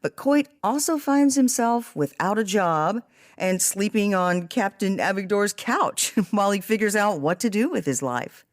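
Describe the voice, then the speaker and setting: A woman's voice: medium pitch, expressive, measured pace, dry recording, studio quality. A woman hosting a podcast, reading scripted narration and summaries. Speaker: podcast host.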